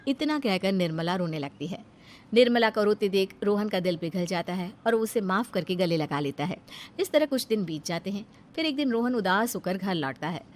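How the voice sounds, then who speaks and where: A woman's voice: mid-range voice, expressive, fairly quick. A woman reading scripted narration for a cartoon family story as its storyteller.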